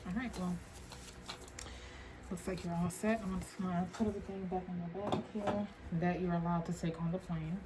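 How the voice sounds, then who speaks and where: Loud noise and clicks surround a woman playing a rude female airport security agent in an ASMR roleplay. Her voice sits a little low and stays soft-spoken. She mutters with dry, suspicious sarcasm, taking her time. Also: fairly even intonation, moderate pace, natural voice. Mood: dry, methodical.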